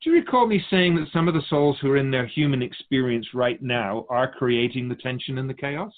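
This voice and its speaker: A man playing a wise, wry otherworldly keeper of souls in a scripted dialogue, measured and teasing, fond of rhetorical questions. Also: mid-range voice, phone-quality sound, natural voice. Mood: instructive.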